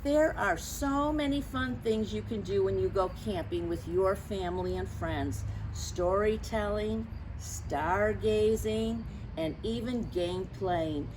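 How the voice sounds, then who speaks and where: A woman reading a picture book aloud to young children, chatting to them directly with questions and gentle reminders in a teacherly way. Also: medium pitch, slow pace, few pauses. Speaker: story time reader for children. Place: outdoors near lawns being mowed. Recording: outdoor noise, mower and birds.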